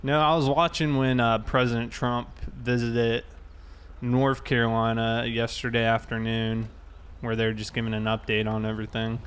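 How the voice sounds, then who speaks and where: A man's voice: medium pitch, level tone, normal speed, fairly bright tone, clear, resonant tone. An American man chatting casually and in a laid-back, conversational way while livestreaming a game.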